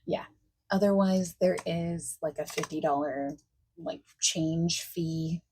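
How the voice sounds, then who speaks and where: A woman playing a friendly, accommodating party planner in a softspoken ASMR roleplay, chatting casually and reassuringly, at times nearly whispering. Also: sits low. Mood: reassuring, helpful. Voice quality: soft-spoken, breaking into near-whispers. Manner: casual, reassuring, chatty, pausing for unheard replies. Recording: faint noise, keyboard typing and clicking.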